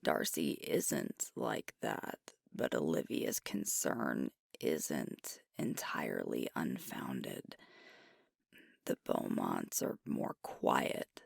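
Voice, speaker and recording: ASMR roleplay: actress as female alpha werewolf leader, troubled and conscientious, very low hushed voice, slow and deliberate. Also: huge pitch swings, no echo, clean studio sound, full character voice. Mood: troubled, frustrated. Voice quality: breathy, whispery. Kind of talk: ASMR roleplay monologue, whispered and intimate. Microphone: close microphone.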